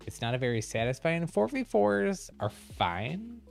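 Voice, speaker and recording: A man's voice: fairly high pitch, sing-song, a little slow, gravelly, soft background music. A man doing casual live gameplay commentary as a streamer, chatty and hesitant as he thinks aloud. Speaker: game streamer.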